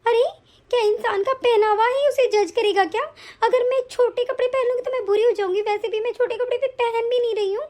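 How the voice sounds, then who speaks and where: A woman acting a high-pitched, wronged young wife. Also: fairly even intonation, a little fast, darkish tone. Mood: indignant, defiant. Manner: pleading, indignant. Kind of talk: domestic family melodrama acting.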